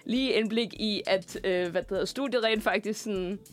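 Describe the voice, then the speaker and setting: A young adult woman co-hosting casual student radio, talking in a rambling, playful, informal way in a studio. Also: medium pitch. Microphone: studio microphone.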